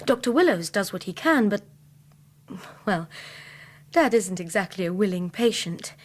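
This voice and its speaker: An actress with an English accent playing a devoted, self-possessed young gentlewoman in a radio drama, earnest, polite and guarded. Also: medium pitch, expressive, measured pace. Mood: sad, earnest. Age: young adult.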